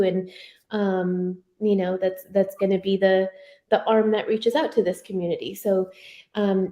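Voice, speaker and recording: A woman's voice: medium pitch, dark tone. An American woman whose first language is Spanish, speaking informally as she leads an online panel discussion. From United States, Michigan. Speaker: panelist leading a community board discussion. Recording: roomy, echoing sound.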